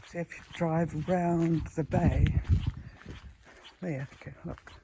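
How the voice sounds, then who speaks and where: A man's voice: on the high side, steady tone, slow, creaky. A man from New Zealand chatting casually to camera as a travel vlogger on a walk, musing aloud in short phrases. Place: outdoors at a beach. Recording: outdoor noise, faint clicks.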